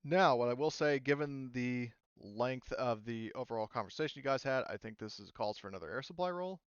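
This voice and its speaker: A man's voice: mid-range voice, animated, a little fast, clean tone, no room sound, dull audio. A man acting as game master, explaining and narrating a tabletop game in a casual, conversational way.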